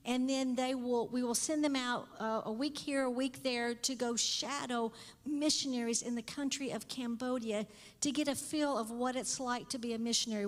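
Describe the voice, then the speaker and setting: Woman, fifties, earnest missionary talk, slightly high voice, reverberant church hall. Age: 50s. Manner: earnest, explanatory, steady. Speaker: missionary speaker. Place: church sanctuary.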